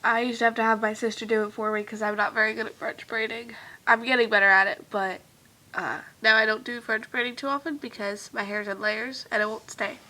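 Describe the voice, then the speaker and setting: A young woman with a higher, bright and clear voice talks to her viewers in a loose, rambling vlog chat. Her nose is badly stuffed, giving her words a congested sound. She fills pauses with lots of "um"s and often lets her sentences trail off softly. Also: fairly even intonation, rough recording. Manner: rambling and chatty with many fillers, trailing off softly. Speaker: vlogger.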